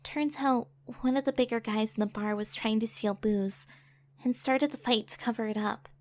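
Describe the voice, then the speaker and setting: Woman playing a high-voiced, fragile young bard. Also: moderate pace, close to an everyday voice. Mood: wistful. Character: traumatized, fearful young female bard recounting her past. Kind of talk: audio drama narration with emotional acting. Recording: music under the voice.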